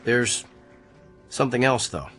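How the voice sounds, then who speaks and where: A man's voice: medium pitch, animated, unhurried, fairly bright tone, faint music behind. An actor playing a pompous, defensive male doctor with an inflated view of his gift, in a radio drama. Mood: clinical, assured. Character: arrogant, self-important gifted male doctor.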